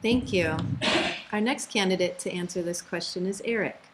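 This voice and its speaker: A woman's voice: lowish voice, moderate pace. A woman moderating a candidate forum in a studio. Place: local TV studio. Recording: faint studio background noise.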